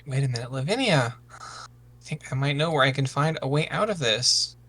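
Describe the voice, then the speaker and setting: A man's voice: medium pitch, expressive, moderate pace, sharp tone. A man playing a sensitive, anxious misfit son of warriors, hesitant and earnest, often stammering.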